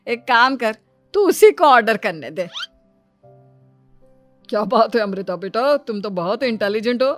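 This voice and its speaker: A woman's voice: on the high side, lively intonation, measured pace, pauses often, faint music behind. A woman voicing a proud, motherly middle-aged mother-in-law in a cartoon family story. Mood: admiring. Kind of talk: Hindi cartoon story dialogue.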